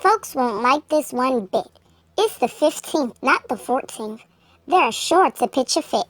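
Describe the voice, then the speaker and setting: An earnest young storybook heroine, as a woman reader puts her on, speaks in a high, tiny voice. She sounds plaintive and full of remorse. The recording is dry. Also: expressive, a little slow, fairly bright tone, no room sound, heavily acted. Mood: worried.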